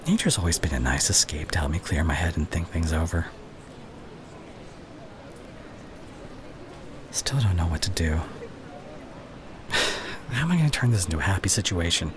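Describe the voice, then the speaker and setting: A man playing a young, anxious but tender boyfriend in an intimate ASMR roleplay, speaking gently and reassuringly in a husky, often whispery voice. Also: low voice, very animated, a little fast, long pauses, noisy background. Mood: anxious, brooding.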